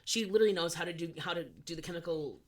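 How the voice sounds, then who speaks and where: A woman in her twenties co-hosting a podcast, chatting casually with animated, swearing asides. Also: fairly low pitch, fast talker. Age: 20s. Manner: animated, swearing, self-deprecating. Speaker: podcast co-host. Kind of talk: casual chat.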